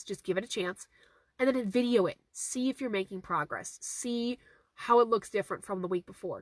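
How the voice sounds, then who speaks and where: A woman in her thirties, a horse trainer with a hoarse, fading voice, answering viewer questions in a casual live stream from her car, phone held at arm's length, repeating her key points earnestly. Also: medium pitch, a bit bright, no room sound. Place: inside a parked car. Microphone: phone camera at arm's length.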